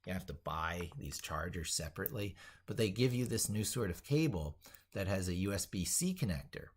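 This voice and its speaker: Low-voiced man casually narrating a live demo. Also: lively intonation, fairly quick, husky. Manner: rambling, thinking aloud while demonstrating. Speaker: live stream host. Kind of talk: casual live screen-share commentary. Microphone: speaking into a microphone.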